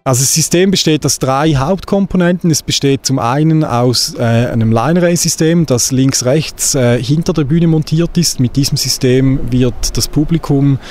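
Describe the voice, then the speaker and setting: A man gives a measured, hesitant technical explanation as a sound engineer, speaking outdoors into a handheld microphone. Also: medium pitch, a little mellow, soft background music. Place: outdoors on an open-air stage. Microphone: handheld microphone held at chest. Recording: clear outdoor traffic and ambient noise.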